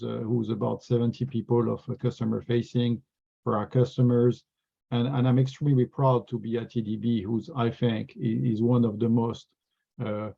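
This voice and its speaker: Gravelly French-accented man on a call. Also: medium pitch, dark tone, lo-fi sound. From France. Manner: earnest, repeats words while thinking. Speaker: regional sales executive. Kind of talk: webinar interview answers.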